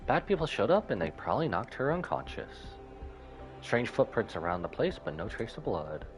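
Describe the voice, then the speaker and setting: A man's voice: mid-range voice, very animated, normal speed, soft background music. A man live streaming a game, casually commenting and reading dialogue aloud.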